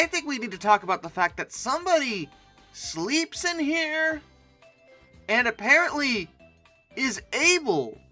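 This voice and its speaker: A comedy commentator with a very animated, bright delivery. The host reacts with sarcasm and theatrical exasperation over a soft music bed. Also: mid-range voice. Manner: theatrical mock outrage, sarcastic. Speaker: YouTube host reacting to images.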